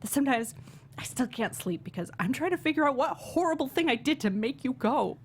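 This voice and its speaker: A woman's voice: high voice, expressive, a little fast. A woman acting a hurt, sarcastic young daughter in a dramatic script read. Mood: hurt, angry. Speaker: actor reading a part. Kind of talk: table read of a TV pilot script.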